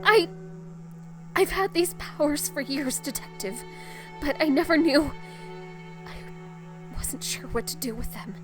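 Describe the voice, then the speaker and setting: An actress playing a young rookie superhero, grieving and defensive, in a halting, emotional audio drama performance. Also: female voice, sits high, animated. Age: young adult. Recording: music behind the voice.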